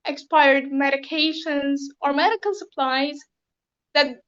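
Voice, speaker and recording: Woman, Syrian accent, high voice, careful formal answers, many ums. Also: measured pace, a bit bright, muffled recording. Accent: Syrian Arabic. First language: Arabic. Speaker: interview guest. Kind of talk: interview answers.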